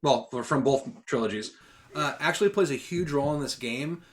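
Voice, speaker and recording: A man with a mid-pitched voice co-hosts a casual podcast. He talks informally and with enthusiasm, pitching ideas and tossing in fan asides. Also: brisk, slight background noise. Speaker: podcast co-host.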